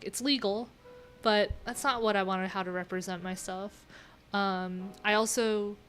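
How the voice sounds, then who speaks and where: A woman's voice: mid-range voice, fairly bright tone, slight background noise. A woman live streaming, chatting informally and thinking aloud in a rambling, unfiltered way. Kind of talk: casual live tech stream commentary.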